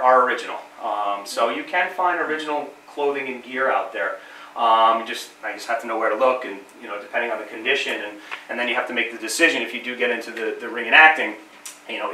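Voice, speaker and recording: A man's voice: medium pitch, bright, forceful tone, slight background noise. A middle-aged man with a cold and a slightly nasal voice gives a friendly, informal history talk to a small audience, with many fillers and repeated words. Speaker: living history presenter. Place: small library meeting room. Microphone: camera across the room. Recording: roomy, distant camera sound.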